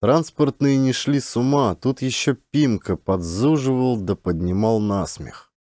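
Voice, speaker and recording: A man's voice: mid-range voice, measured pace, dark tone, dry recording, clean studio sound. A man narrating a literary audiobook in a dramatic storytelling style.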